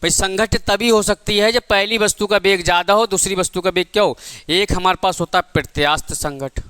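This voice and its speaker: A man's voice: sits high, fairly quick, a bit bright, clean tone, no echo. A man teaching an exam-prep physics lesson, asking the class questions and answering them himself, calling his listeners "beta" and "bhai".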